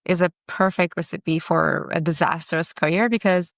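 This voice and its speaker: A woman's voice: fairly low pitch, fairly even intonation, creaky, no echo, studio quality. A young woman from Turkey, giving earnest, optimistic commentary as a vlogger reacting to a podcast. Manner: earnest, optimistic, speaks in short phrases with pauses. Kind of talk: casual video commentary.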